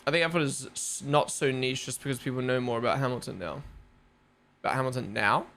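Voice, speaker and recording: A man's voice: mid-range voice, brisk, a bit bright. A young man with a New Zealand accent, rambling casually as a live stream host, full of fillers and swearing. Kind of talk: casual live watch-along chat.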